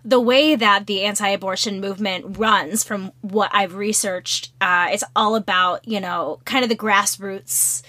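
Woman podcast co-host, slightly hoarse, congested, rambling casual talk, bright tone, dry close sound. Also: medium pitch, fairly even intonation, measured pace, few pauses, dry recording. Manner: rambling, loose, swears casually. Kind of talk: casual chat. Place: small closet.

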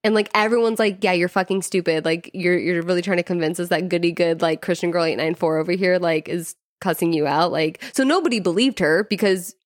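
A woman's voice: on the low side, brisk, hardly any pauses, no room sound, clean studio sound. A woman telling personal stories in casual conversation, informally, with "like" sprinkled through her sentences. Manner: informal, peppers speech with "like". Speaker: podcast co-host. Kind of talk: casual chat, personal storytelling.

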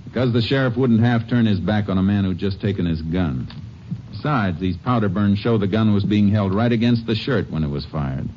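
An actor playing a calm, shrewd Texas Ranger investigator in a 1950s radio drama, talking in a steady, deliberate way. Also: male voice, on the low side, close to an everyday voice. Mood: calm, probing. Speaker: lead actor. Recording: dull old radio recording with faint hiss.

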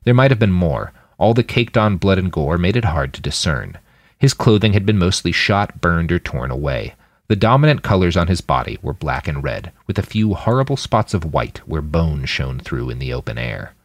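A man's voice: low-pitched, sing-song, moderate pace, dry recording. A man reading a story aloud as scripted audiobook narration. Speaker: author reading his fiction podcast.

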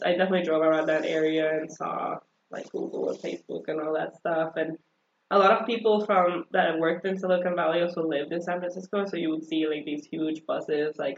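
A woman as an interview guest, talking in an upbeat, animated way with frequent fillers and laughter. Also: low-pitched. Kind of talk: casual conversational interview. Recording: roomy dull lo-fi audio.

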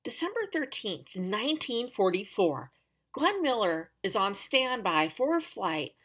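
A woman's voice: mid-range voice, fairly bright tone. A woman hosting a podcast, telling a story in a conversational scripted narration with emphatic, dramatic phrasing and chatty asides. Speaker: podcast host.

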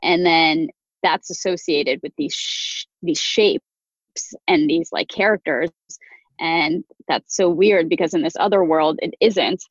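A woman with a lowish voice speaks as a guest on a casual podcast, chatty and full of "like" fillers. Her audio is dull and lo-fi, with a dry, close sound. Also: a little slow, no room sound. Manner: chatty, many "like" fillers, laughs easily. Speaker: company CEO, podcast guest. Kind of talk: casual conversational interview.

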